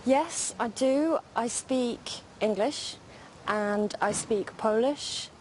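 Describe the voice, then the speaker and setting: A woman answering interview questions in a slow, deliberate way. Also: a little high, a little mellow, a little hiss or hum, low-quality recording.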